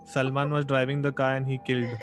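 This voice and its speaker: Guy chiming in casually, mid pitch, bit of music behind. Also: completely flat delivery, fast talker. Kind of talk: casual chat, joking interjections. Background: faint music.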